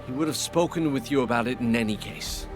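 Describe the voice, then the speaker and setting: A man's voice: medium pitch, fast talker, music in the background, natural voice. A man playing a sober, worried investigator in a fantasy game, grave and matter-of-fact. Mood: grave worry.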